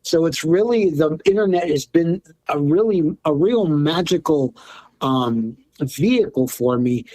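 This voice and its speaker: A middle-aged man from Los Angeles speaks in an interview, earnest and reflective, circling back over his words as he thinks. His voice sits a little high for a man. The sound is dry and close. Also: animated, unhurried, mellow, dry recording. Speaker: guest, drum maker and healer. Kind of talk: interview conversation.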